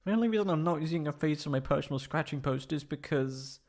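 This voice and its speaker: A man playing a prickly young catboy in an ASMR roleplay, haughty but secretly soft-hearted and stammering when flustered. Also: a little high, quick pace, natural voice. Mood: flustered, indignant.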